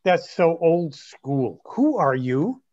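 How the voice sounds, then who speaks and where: Dull audio, man acting eager, self-centered young grandson, lively swinging intonation, comedy read. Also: a little high, takes it slowly. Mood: puzzled, curious. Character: earnest, self-absorbed twenty-three-year-old grandson. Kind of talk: reader's theatre comedy.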